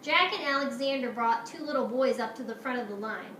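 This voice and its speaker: A woman teacher doing an expressive picture-book read-aloud for her pupils. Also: on the high side, brisk, recorded from a distance. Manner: expressive, animated storytelling. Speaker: schoolteacher reading to pupils. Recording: roomy, echoey, noisy home-style recording.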